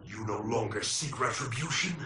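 An actor playing a bitter, vengeful undead ninja warrior in a video game, making defiant, commanding declarations. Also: male voice, medium pitch, lively intonation, normal speed, pushed and bright, reverberant, distant mic, a little hiss or hum. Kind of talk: dramatic video game acting.

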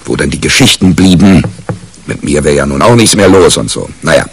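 A very low, gravelly male reporter in a radio crime play. He grumbles colloquially and mocks himself, his pitch swinging in a lively way. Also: brisk, exaggerated voice. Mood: rueful, self-mocking. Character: disgruntled, self-mocking male newspaper crime reporter. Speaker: actor narrating as the lead character. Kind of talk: radio crime drama, colloquial first-person narration.

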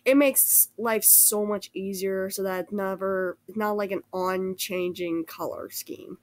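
A woman's voice: mid-range voice, fairly even intonation, measured pace. A woman who is sick with a cold gives a casual, rambling art critique over a screen recording, hesitating and restarting often. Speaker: art critique video host.

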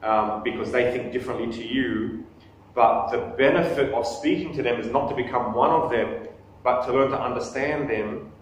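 A man's voice: medium pitch, normal speed. A man in his thirties speaks to a small audience, joking at his own expense and restarting sentences as he goes. He is recorded by a camera across a small meeting room, so his voice sounds distant and very echoey, with faint background noise. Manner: conversational, self-deprecating humor, frequent restarts. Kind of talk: live talk with questions. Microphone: far camera on table.

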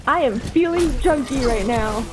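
A woman's voice: sits high, lively intonation, normal speed, noisy background. A woman playing an online shooter with a friend, joking and trash-talking casually. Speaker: gaming co-player. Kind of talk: casual chat while gaming. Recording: game music behind voice.

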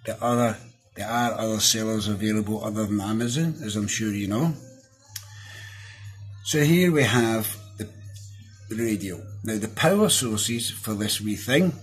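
A middle-aged man with a Scottish accent talks through a hands-on review in a casual, down-to-earth way. His voice sits on the low side. Soft music plays faintly behind him. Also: measured pace. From Scotland. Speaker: product reviewer. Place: small indoor room at a desk.

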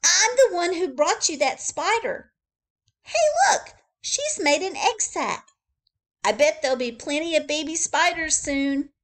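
A woman reading a storybook aloud, voicing an excited young boy in a high, shouting voice. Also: extremely slow, few pauses, full character voice.

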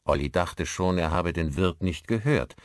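A man narrating a scripted audiobook in an expressive storytelling manner. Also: low-pitched, moderate pace, no echo, studio quality.

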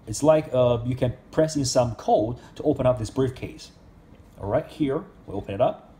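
A man's voice: mid-range voice, normal speed, dark tone. A man reviewing an action figure in casual speech, with simple, non-native English phrasing. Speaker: toy reviewer.

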